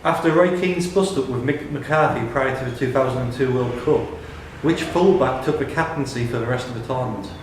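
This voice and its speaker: A man's voice: medium pitch, brisk, hardly any pauses. A man reading out questions and answers as the host of a live pub quiz. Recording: very echoey room with background noise.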